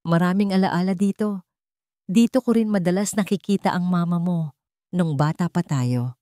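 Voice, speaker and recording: A woman voicing a kind, devoted young man in a romance story, gentle and reassuring. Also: lowish voice, normal speed, dry recording, clean studio sound, natural voice. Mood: tender. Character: kind, devoted young man comforting his childhood sweetheart.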